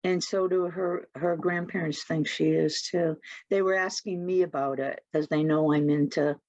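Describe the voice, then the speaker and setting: A woman's voice: deep voice, fairly even intonation, moderate pace, darkish tone, no room sound, low-quality recording. A woman chatting casually as a participant in a spiritual discussion group.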